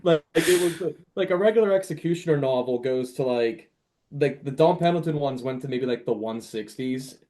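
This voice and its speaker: A man with a mid-pitched voice speaks as a podcast panelist. His style is casual fan talk, animated and loosely phrased, with words often repeated. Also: normal speed.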